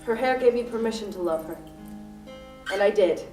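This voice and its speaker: A woman's voice: medium pitch, normal speed, natural voice. A woman playing a distraught, grieving widow who has taken hostages, in a dramatic, poetic radio play performance. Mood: tender, wistful. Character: distraught grieving widow, armed hostage-taker telling her love story. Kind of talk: student radio play acting. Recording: roomy distant stage recording with music.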